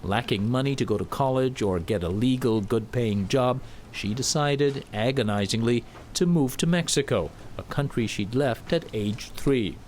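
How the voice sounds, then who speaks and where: A man narrating a news report in a measured, scripted delivery. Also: mid-range voice, a little mellow, a little hiss or hum. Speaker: news correspondent.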